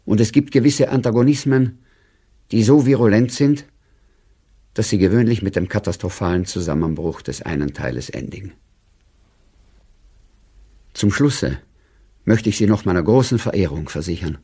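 Radio play: courteous but condescending chairman, lowish mellow voice, formal letter delivery. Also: breathy edge. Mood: formal sympathy. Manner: stiff, courteous, measured. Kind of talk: 1930s letter read in radio drama.

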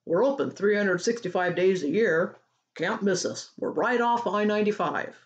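A woman's voice: mid-range voice, moderate pace, highs cut off, natural voice. A woman voicing a brash, hot-tempered, opportunistic male boss in a storybook. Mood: smug, glib. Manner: brash, glib.

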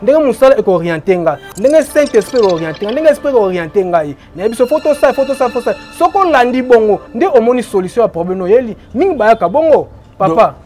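A man with a notably high voice, a healer from Boma in Congo, explains his remedies in an interview. He repeats his phrases emphatically and lets them trail off quietly. Faint music plays underneath. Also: sing-song, fast, hardly any pauses. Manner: repetitive, emphatic, trailing off low. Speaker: traditional healer.

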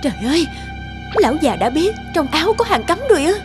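A woman's voice: high voice, very animated, fast, over music. An actress playing an anxious, guilt-ridden young mother in a cartoon, speaking in a fretful, hesitant, emotional way. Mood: panicked. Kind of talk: animated cartoon dubbing.

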